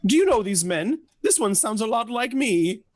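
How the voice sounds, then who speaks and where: A woman voicing a bewildered, gentle old lady who stammers in a radio play. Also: a little high, big pitch swings, moderate pace, no echo, studio quality. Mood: confused. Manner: faltering, stammering.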